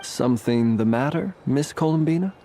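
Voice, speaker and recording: A man's voice: medium pitch, normal speed, warm tone, a little hiss or hum, close to an everyday voice. A man voicing a courteous, whimsical talking lantern in a video game, speaking in a formal, gracious, theatrical way. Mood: courtly.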